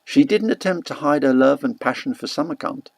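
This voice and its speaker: A man narrating a first-person literary novel as an audiobook, reading in a measured, deliberate way. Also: medium pitch, lively intonation, vocal fry, no echo.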